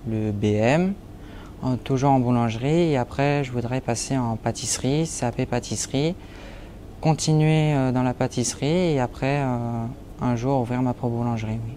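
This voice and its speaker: Young man, nineteen, apprentice baker; mellow mid-pitched voice, halting with fillers; faint ambience. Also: a little hiss or hum. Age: young adult. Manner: hesitant, many fillers. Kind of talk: interview portrait.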